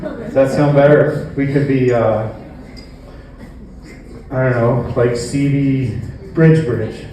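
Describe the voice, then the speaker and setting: A man doing a stand-up comedy routine on stage, with a halting, rambling delivery. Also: mid-range voice, fairly even intonation, warm tone. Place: indoor comedy venue stage. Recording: echoey room, distant mic, faint noise.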